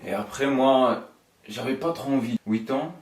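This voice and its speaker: A man's voice: medium pitch, measured pace. A young man from Italy and Luxembourg, casually telling his own story as an interview guest, with frequent informal tags. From born in Italy, raised in Luxembourg from age nine. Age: young adult. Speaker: guest, professional footballer. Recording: roomy echo, distant from the mic.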